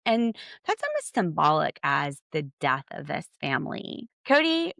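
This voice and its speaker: An American woman hosting a podcast, giving casual, opinionated commentary in an animated, sarcastic, slangy way. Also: low voice, measured pace, creaky, no room sound, studio quality. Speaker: podcast host.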